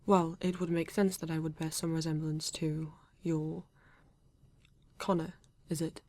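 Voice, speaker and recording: Woman as a low, even-voiced British male android. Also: dry recording. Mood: polite, reserved. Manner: formal, stiff, deliberate phrasing with halting pauses. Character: formal reserved male android police detective, newly awakened. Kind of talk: ASMR roleplay addressed to the listener.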